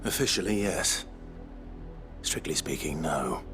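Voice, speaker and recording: A man playing a brooding, resolute young noble outlaw in a video game, speaking in a low, measured, terse way. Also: lively intonation, over music. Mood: measured, guarded. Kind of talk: dramatic video game acting.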